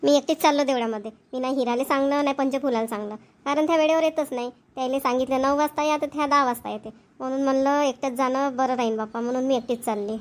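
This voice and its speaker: Woman doing a high eager young village daughter, cheerful and dutiful, rural maharashtrian marathi accent, cartoon. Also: steady tone, fast talker, hardly any pauses, close to an everyday voice. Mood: chatty. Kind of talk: Marathi cartoon family comedy voice-over.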